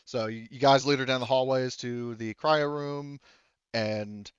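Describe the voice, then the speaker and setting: A man running a tabletop game as game master, improvising narration and explanations in a halting, wordy way. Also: medium pitch, steady tone, smooth, no echo, dull audio.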